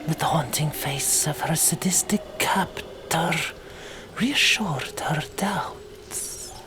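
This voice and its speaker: A woman narrating an audiobook chapter in a soft, deliberate ASMR whisper. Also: low-pitched. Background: loud music.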